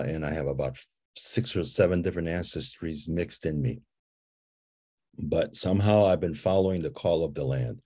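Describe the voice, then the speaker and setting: A man from Guatemala with a very deep, husky voice guides a meditation and tells a story. His tone is mellow and unhurried, and he repeats gentle invitations to feel and imagine. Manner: slow, calm and repetitive, with soft repeated invitations. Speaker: ceremony leader guiding a visioning exercise.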